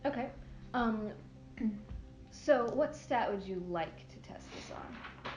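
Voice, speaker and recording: A woman's voice: fairly high pitch, animated, takes it slowly, darkish tone. A young adult woman narrating as game master, hesitant and full of fillers. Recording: roomy lo-fi room mic with faint music.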